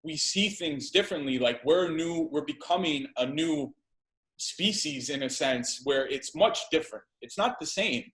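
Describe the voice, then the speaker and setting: A man's voice: fairly high pitch, lively intonation, moderate pace, fairly bright tone. A man in his thirties, a guest in a casual podcast talk, speaking emphatically, repeating phrases and swearing freely. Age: 30s. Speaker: guest entrepreneur. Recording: echoey room.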